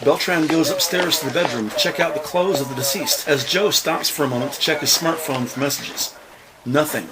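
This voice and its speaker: A man's voice: fairly high pitch, fairly quick, over a noisy crowd, rough recording. A man narrating a scripted crime audio drama in the present tense.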